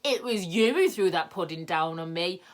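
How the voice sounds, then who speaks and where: A woman voicing an angry, bossy fairy-tale man who shouts and scolds in a storybook. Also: fairly low pitch, lively intonation, moderate pace, lightly acted. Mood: furious scolding.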